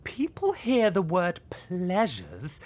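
Female cult leader, deep register, pompous, scheming, swooping emphatic delivery, comedy audio drama. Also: wildly swooping pitch, takes it slowly, darkish tone, full character voice. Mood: insistent, irritated. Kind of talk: audio sitcom acting.

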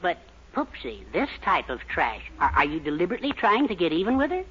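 An actor playing a scheming, henpecked middle-aged husband in a 1940s radio sitcom, hesitant and stammering. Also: male voice, high-pitched, wildly swooping pitch, a little fast, bright, forceful tone, husky, full character voice. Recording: dull 1940s radio broadcast with faint hiss.

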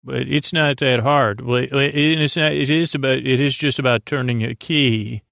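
A man hosting a sleep podcast in a creaky voice, gently reassuring as he rambles, halts, repeats himself and trips over words in a soothing monologue. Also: medium pitch, steady tone, normal speed, dry recording, clean studio sound. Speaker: podcast host.

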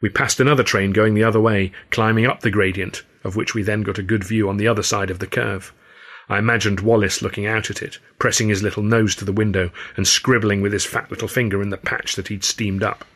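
A man's voice: on the low side, fairly even intonation, brisk, a bit bright. A man narrating his own first-person novel as an audiobook.

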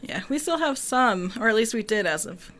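A woman's voice: on the high side, lively intonation, normal speed, fairly bright tone, a little hiss or hum. A woman co-hosting a podcast, chatting casually in an understated, wry way. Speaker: podcast co-host. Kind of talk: casual chat.

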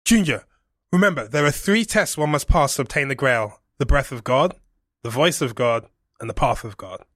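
A man's voice: medium pitch, expressive, moderate pace, a bit bright, no echo, studio quality. An actor playing an anxious father who frets and cautions, in a comedy. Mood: cautioning. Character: anxious, henpecked father of the villain. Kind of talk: comedic character acting.

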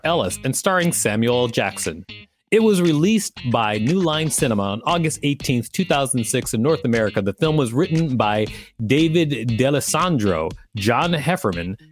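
A man with a medium-pitched voice hosts a podcast. He reads reference text aloud and drops in casual commentary. Faint music plays underneath. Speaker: podcast host. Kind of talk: reading an encyclopedia article aloud with casual commentary.

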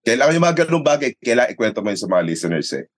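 A man's voice: mid-range voice, very animated, very fast. A Filipino-accented man, a sports radio commentator, explaining things conversationally in an interview, mixing English and Tagalog and checking in with tag questions. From Philippines. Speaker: sports radio play-by-play commentator and arena announcer.

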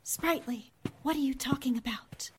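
A woman's voice: high-pitched, normal speed, noisy background, close to an everyday voice. A woman playing a poised, inquisitive newspaper columnist in a 1940s-style radio drama. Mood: scolding.

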